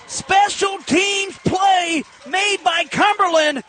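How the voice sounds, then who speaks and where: A very high-voiced American man calls football play-by-play for radio. His tone is bright and forceful, and he delivers short, punchy phrases that build toward big shouted calls. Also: takes it slowly, soft background music.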